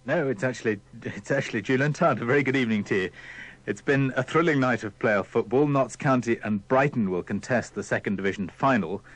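A man's voice: mid-range voice, brisk, rough recording. A man presenting a radio sports programme from a script.

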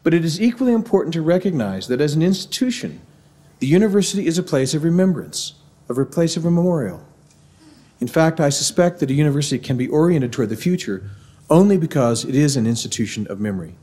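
A middle-aged man with a mellow, warm Missouri accent delivers a formal devotional address in a measured, earnest way. He speaks into a podium microphone in a large university auditorium. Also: a little high, animated.

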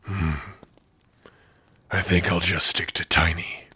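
A man voicing a big, gruff orc prisoner in an ASMR roleplay, brooding and sardonic, with a husky, rough voice. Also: deep voice, little pitch movement, slow pace, bright, forceful tone, no room sound, full character voice. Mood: guarded, weary. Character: big gruff male orc prisoner, guarded but lonely. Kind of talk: ASMR roleplay addressed to the listener.

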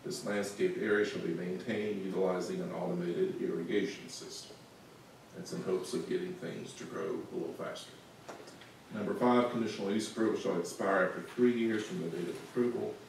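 Deep gravelly man, far off in a boomy hall. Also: darkish tone. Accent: Southern US. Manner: measured, reads conditions methodically. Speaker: planning staff presenter. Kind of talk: public meeting presentation. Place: large council meeting room. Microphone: room recording from far camera. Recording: echoey room, distant mic, faint noise.

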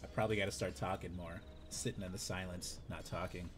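A man in his thirties with a hoarse, raspy voice, chatting in a laid-back, unhurried way while streaming a game. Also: low voice, fairly even intonation, noisy background. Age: 30s. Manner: laid-back, unhurried, drifting between game remarks and stories. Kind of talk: casual live-stream chat while gaming.

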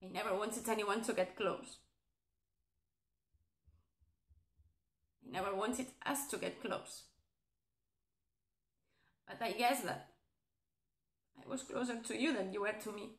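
High-voiced heartbroken young woman, played by an actress, echoey room. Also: big pitch swings, measured pace, pauses often. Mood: hurt, defensive. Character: heartbroken young woman being dumped, feigning breezy indifference. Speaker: actress performing a monologue. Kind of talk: theatrical dramatic monologue. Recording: echoey, roomy sound.

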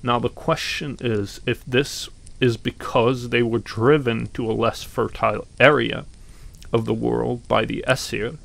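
Slow, gravelly-voiced Norwegian man. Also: mid-range voice, fairly even intonation, a bit bright, poor audio. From Norway. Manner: slow and deliberate, with pauses and some fillers. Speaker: podcast host. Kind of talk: reflective commentary on mythology.

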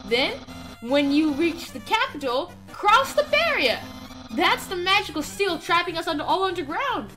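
A woman's voice: very high-pitched, sing-song, moderate pace, pushed and bright, faint music behind, exaggerated voice, rough recording. A woman voicing a boastful, friendly and naive male skeleton from a game, announcing things theatrically. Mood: earnest announcing.

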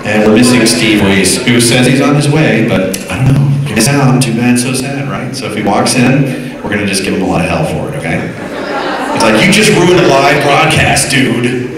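A man's voice: mid-range voice, animated, normal speed. An American man speaks casually to a room as a panel host, rambling in loose slang. His voice is picked up from a distance in a very echoey hall, with a busy crowd around him. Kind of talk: casual, joking live panel introduction. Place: hotel conference room before an audience. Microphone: handheld PA mic, captured by distant camera. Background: audience crowd.

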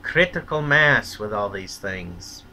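A man's voice: fairly low pitch, expressive, takes it slowly, sharp tone, slight background noise. A man delivering a blunt, profane, contemptuous opinion rant as a solo vlogger.

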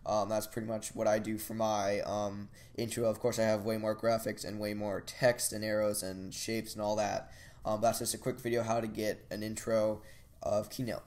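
A young man walks through a software tutorial in a low, fairly monotone voice. He talks casually and hesitantly, filling gaps with ums and restarting sentences. It sounds like a desk webcam in a small room. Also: normal speed. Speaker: tech channel host. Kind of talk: screen-recorded software tutorial.

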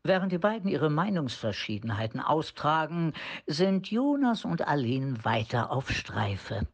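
A man's voice: fairly high pitch, very animated, unhurried, lo-fi sound. A man narrating a scripted radio play as storyteller.

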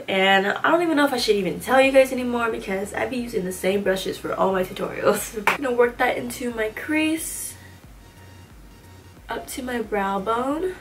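Chatty young woman with a stuffy nose. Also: on the high side. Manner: chatty, slangy and self-teasing. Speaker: beauty vlogger. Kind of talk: casual makeup tutorial chat. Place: small room indoors.

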